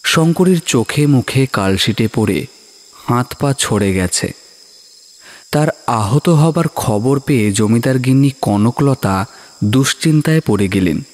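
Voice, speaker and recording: A man narrating a horror story in a measured, dramatic, suspenseful manner. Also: mid-range voice, darkish tone.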